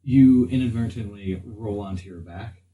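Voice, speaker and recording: A man narrating a tabletop game as game master, often pausing mid-sentence as he describes the action. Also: on the low side, narrow, tinny audio. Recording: echoey room, distant mic, noise.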